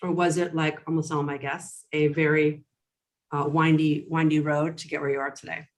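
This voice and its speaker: Bubbly woman podcast host, low voice. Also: level tone, moderate pace. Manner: bubbly, enthusiastic, rambling with fillers. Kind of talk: podcast interview. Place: Chicago.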